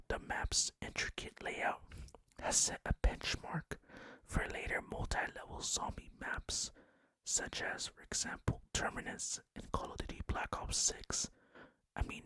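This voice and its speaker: A man whispering breathily and deliberately into a close microphone as he hosts an ASMR gaming commentary. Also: very high voice, bright, forceful tone. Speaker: gaming video host.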